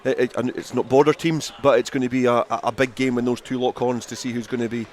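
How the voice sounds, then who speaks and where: Fast-talking male rugby pundit. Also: medium pitch, level tone, darkish tone, a little hiss or hum. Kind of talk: unscripted analysis.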